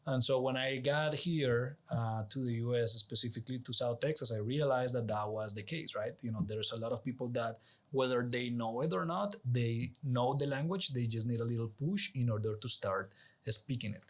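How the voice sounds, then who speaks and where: A man with a Colombian accent and Spanish as his first language speaks at a middling pitch. He explains things conversationally as a guest, earnest and persuasive, often checking in with the listener. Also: moderate pace. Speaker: interview guest, executive director.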